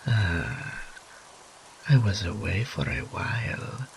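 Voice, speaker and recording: Man playing a slow, gravelly, seductive incubus demon. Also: lowish voice, lively intonation, a little hiss or hum, heavily acted, low-quality recording. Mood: flirtatious. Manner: theatrical drawl, purring, breathy whispered asides. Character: teasing, seductive male incubus demon, smug and doting. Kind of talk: roleplay audio acting.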